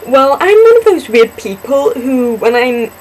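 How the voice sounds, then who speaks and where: A young woman, born in Singapore and raised in the southwestern US, casually answering questions about herself to a camera, chatty and self-deprecating with many pauses and ums. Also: high-pitched, big pitch swings, a little hiss or hum, poor audio. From born in Singapore, grew up in the southwest US. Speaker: vlogger in a fan collab channel.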